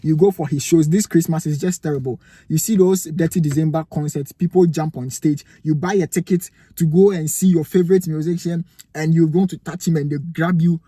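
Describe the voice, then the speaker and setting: A man's voice: a little high, steady tone, brisk, mellow, husky, no room sound, studio quality. A young man with a Ghanaian accent giving emphatic motivational advice to camera, asking rhetorical questions, indoors in a cold room. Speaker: vlogger giving life advice.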